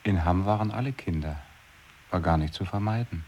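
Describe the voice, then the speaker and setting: An actor playing a deadpan man in an experimental radio play, arguing absurd points with dry logic. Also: sits low, moderate pace.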